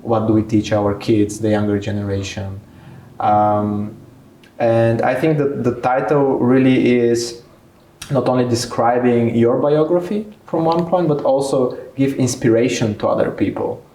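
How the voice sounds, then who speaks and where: A man interviewing conversationally as a podcast host, speaking in a measured, reflective way. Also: mid-range voice, fairly even intonation, mellow. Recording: roomy, echoing room sound.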